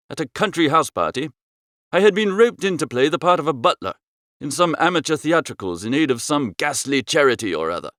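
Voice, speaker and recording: A man narrating a comic first-person literary story as an audiobook, in a droll, expressive storytelling manner. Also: on the high side, dry recording, studio quality.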